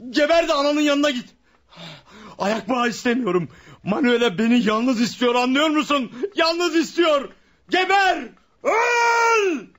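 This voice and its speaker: A man's voice: very high voice, expressive, unhurried, fairly bright tone, exaggerated voice. A man playing a cold-blooded killer in a radio drama, muttering and gloating to himself as he hides his crime. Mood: vicious. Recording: old radio play with faint hiss.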